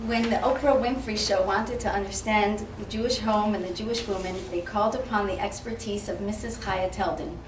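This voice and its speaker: A woman's voice: mid-range voice, steady tone, brisk, few pauses. A woman emcee reading a formal introduction of a speaker at an event. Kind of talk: read introduction. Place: event hall. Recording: echoey hall from far off, some noise.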